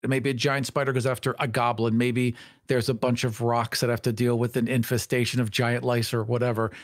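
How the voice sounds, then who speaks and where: A man's voice: medium pitch, level tone, brisk, no echo, studio quality. A man co-hosting a podcast in a casual, conversational style. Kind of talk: casual chat.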